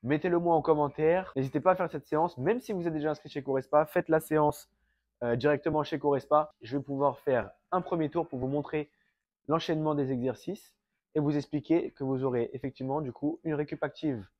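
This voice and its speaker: Un coach sportif français enrhumé qui explique pas à pas un circuit d'entraînement à la maison, en parlant directement aux spectateurs et en s'essoufflant vite. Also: male voice, a little high, fairly quick, dry recording, dull audio. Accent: French. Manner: explains step by step, addresses viewers directly. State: has a cold, breathes through the mouth, gets out of breath fast. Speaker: fitness coach. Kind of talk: home workout instruction. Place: fitness studio.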